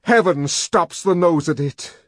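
Man playing a violent young savage, tormented, shouting, ranting verse, husky. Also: a little high, measured pace, no echo, clean studio sound, pitched above the performer's own voice. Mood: raving.

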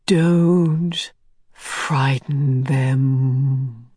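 A woman's voice: extremely low pitch, fairly even intonation, mellow, dry recording, clean studio sound, voice pushed down, full character voice. A woman voicing an ancient otherworldly female being who draws out every word. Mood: stern. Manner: drawn-out, deliberate words.

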